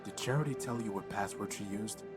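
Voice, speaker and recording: Man playing a grave, low-voiced android, loud music behind. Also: lightly acted. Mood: somber. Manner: subdued, measured, serious. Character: weary, grave, stoic male android. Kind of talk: video game voice acting.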